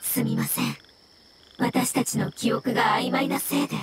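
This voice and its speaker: An actress voicing a calm jellyfish-like water creature in an anime game, with a formal, courteous manner. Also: female voice, fairly high pitch, normal speed, close to an everyday voice. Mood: calm, apologetic. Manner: formal, courteous, even-tempered. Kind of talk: Japanese anime game voice acting. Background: music.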